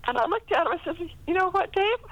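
Animated middle-aged woman, bright voice. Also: very high-pitched, fast talker, lo-fi sound. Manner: chatty, animated storytelling. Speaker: guest author being interviewed. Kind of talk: casual chat about writing.

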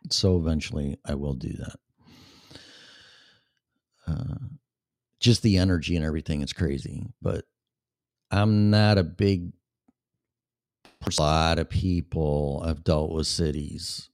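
A man's voice: rumbling low, darkish tone, no room sound, clean studio sound. A man with a Chicago accent hosting a casual chat show, rambling and blunt, with plenty of swearing. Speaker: show host. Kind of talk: casual livestream chat with viewers.

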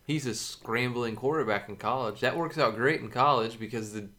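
A young man co-hosting a casual podcast, telling stories in a halting, chatty way. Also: medium pitch, sharp tone. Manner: chatty storyteller, halting with fillers. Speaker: podcast co-host. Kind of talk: casual sports chat.

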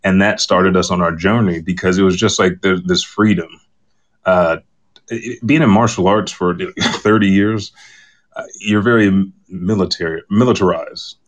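A man's voice: deep voice, fairly even intonation, normal speed. A middle-aged man, a podcast guest, casually telling personal stories.

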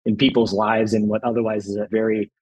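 A man's voice: fairly low pitch, little pitch movement, fast talker, husky. A man from El Salvador, a guest on an interview podcast, talking earnestly and with enthusiasm, often leaning on the word "really.". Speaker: private equity partner, guest.

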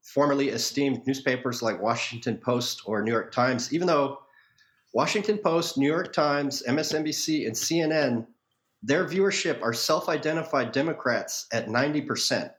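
A man's voice: mid-range voice, normal speed, a bit bright, reverberant. A man from Hawaii with an American accent speaks as a podcast guest, telling opinionated stories in a wordy, erudite, wry way. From Hawaii, United States. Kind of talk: conversational interview, opinionated storytelling.

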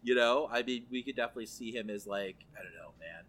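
Male, podcast co-host, bright forward voice, casual chat, thinking aloud. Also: medium pitch, quick pace. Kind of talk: casual chat about comic book films.

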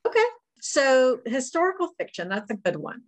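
A woman hosting a book podcast, introducing and interviewing a guest with quick, friendly interjections. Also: high-pitched, very animated. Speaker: podcast host. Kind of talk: casual interview chat.

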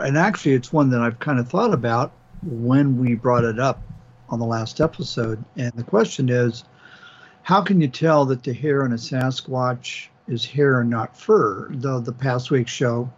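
A man's voice: mid-range voice, slightly rough, muffled recording. A man co-hosting a casual podcast chat, hesitant and full of fillers as he reads listener questions. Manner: hesitant, many fillers and restarts. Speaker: podcast co-host.

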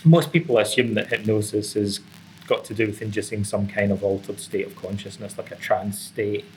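A middle-aged man with a Scottish accent and a fairly low voice answers interview questions in a measured, academic way. He explains ideas conversationally, with some faint ambient noise in the recording. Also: fairly even intonation, darkish tone. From Scotland. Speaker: interview guest, author and psychotherapist. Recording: clearly noisy background ambience.

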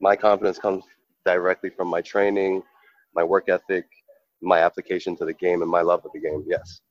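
Deep-voiced man on a muffled line. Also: level tone, fairly quick, no room sound. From New York. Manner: earnest, reflective, with many fillers and restarts. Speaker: professional goalkeeper. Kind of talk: interview answers.

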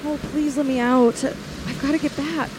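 A woman's voice: sits high, steady tone, moderate pace, background noise, close to an everyday voice. A woman playing a frightened young working woman held by a killer in a radio thriller, pleading, faltering and sometimes defiant, also narrating. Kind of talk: classic radio thriller acting with first-person narration.

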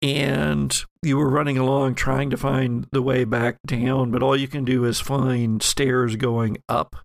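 A man's voice: medium pitch, little pitch movement, measured pace, vocal fry, dry recording. A man narrating a horror role-playing audio drama as game master, with descriptive, suspenseful storytelling.

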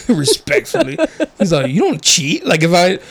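A man's voice: high voice, wildly swooping pitch, unhurried, breathy edge. A man hosting a podcast, chatting casually, joking and laughing often with many fillers. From United States, family in Mexico. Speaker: podcast host. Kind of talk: casual chat.

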